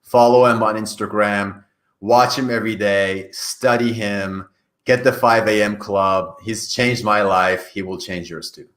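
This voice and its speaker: A man's voice: lowish voice, fairly even intonation, a little slow, a bit bright. A man from Iran, a native Farsi speaker, giving earnest, explanatory answers in a conversational interview as a career coach. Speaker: career coach, podcast guest. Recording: echoey, reverberant room.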